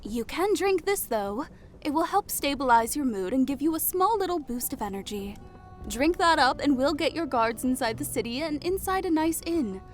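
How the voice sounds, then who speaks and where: Man voicing a high, playful female elf sorceress. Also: animated, moderate pace, soft background music. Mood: friendly, reassuring. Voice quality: light. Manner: playful, lilting.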